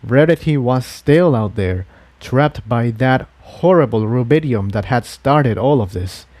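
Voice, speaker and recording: Guy narrating an adventure story, slow n dramatic, lively pitch, dry recording. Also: no echo. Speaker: audiobook narrator. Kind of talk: dramatic scripted fiction narration.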